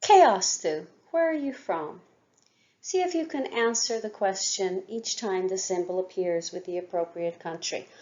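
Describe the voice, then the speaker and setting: A woman's voice: medium pitch, animated, a little slow, a little mellow. A woman teaching an Irish language lesson, saying each word several times for learners to repeat. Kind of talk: language lesson with repetition drills. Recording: dull lo-fi recording.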